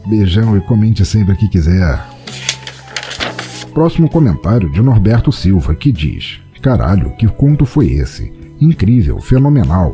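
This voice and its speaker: Brazilian male podcast host, very low mellow voice, theatrical and playful delivery over music. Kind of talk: scripted podcast narration and listener feedback.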